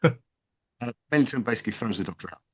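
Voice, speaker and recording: A man hosting a podcast, giving a casual, halting recap from his notes. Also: medium pitch, animated, a little mellow, slightly rough, no room sound. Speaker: podcast host.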